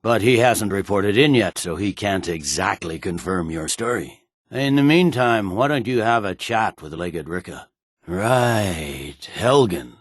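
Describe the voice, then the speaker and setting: This is a stern male general from a fantasy video game. His voice is deep and recorded very dry. He speaks in a clipped, formal, commanding way, like a weary officer used to being obeyed. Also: husky, no room sound, heavily acted. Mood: measured, dry. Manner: authoritative, clipped, brusque, formal. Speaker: military commander. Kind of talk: scripted video game dialogue.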